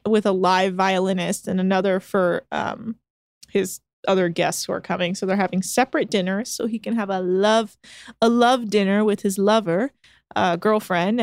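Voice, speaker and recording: A woman recapping a show in loose, sarcastic chat and swearing freely. Her voice sits at an ordinary pitch for a woman. The recording is close and dry. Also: clear, resonant tone, dry recording. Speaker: podcast co-host.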